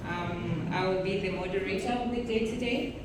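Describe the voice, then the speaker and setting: A woman's voice: medium pitch, moderate pace. A young woman from Botswana moderating a panel in a formal way. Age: young adult. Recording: very echoey hall, distant mic, noisy.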